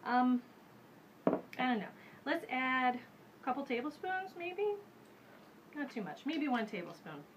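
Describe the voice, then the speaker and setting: A woman's voice: sits high, measured pace, long pauses, lo-fi sound, slight background noise. A woman in her thirties hosting a casual how-to demonstration in her home kitchen. She chats loosely and thinks aloud with plenty of fillers. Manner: chatty, relaxed, thinks aloud with many fillers. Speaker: host of a home witchcraft show. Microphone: camera mic at medium distance.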